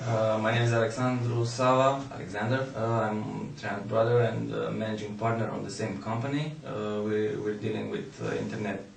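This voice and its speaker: A man's voice: fairly low pitch, steady tone, normal speed, vocal fry, hardly any pauses, distant mic. A man in his thirties introducing himself hesitantly, with many fillers. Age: 30s. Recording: echoey room with noise.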